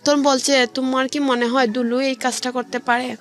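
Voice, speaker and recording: A woman with a Bangladeshi Bengali accent tells a personal story in an interview, speaking colloquially and haltingly and repeating phrases. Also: high voice, little pitch movement. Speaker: interview guest.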